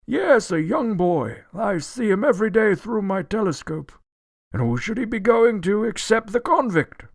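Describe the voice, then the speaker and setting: An actor in a radio drama playing a cantankerous, litigious old gentleman, pompous and indignant, declaiming in a creaky voice. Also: sits high, big pitch swings, moderate pace, no echo, heavily acted. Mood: eager, conspiratorial. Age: older.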